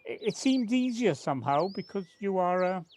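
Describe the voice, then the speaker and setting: A woman acting a remorseful older partner of forty years in an amateur audio drama, halting and confessional. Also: mid-range voice, sing-song, a little mellow, lightly acted. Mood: apologetic. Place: at home, recorded remotely. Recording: faint noise, home personal-device recording.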